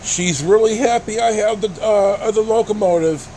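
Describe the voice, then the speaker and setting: Middle-aged American male vlogger, high register, blunt halting rambler, light cabin noise behind. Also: poor audio. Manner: halting, blunt, mildly profane. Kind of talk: casual rambling storytelling. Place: inside a pickup truck on the road. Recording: steady vehicle cabin noise.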